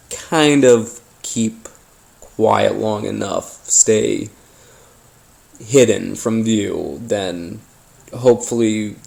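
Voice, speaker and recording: A man hosting a paranormal podcast, telling his story informally in a halting, rambling, conspiratorial way. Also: lowish voice, vocal fry, darkish tone. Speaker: podcast host. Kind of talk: informal first-person storytelling monologue, paranormal audio drama.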